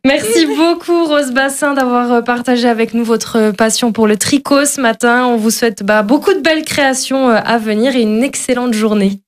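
A woman's voice: on the high side, normal speed, few pauses. A woman co-hosting a morning radio show, asking questions in a friendly way with frequent hesitations. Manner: friendly, laughs easily, frequent fillers. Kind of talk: radio interview and chat.

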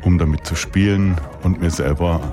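Very deep male voice-over translator, calm read, music bed behind. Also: moderate pace. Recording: voice-over with clear music underneath.

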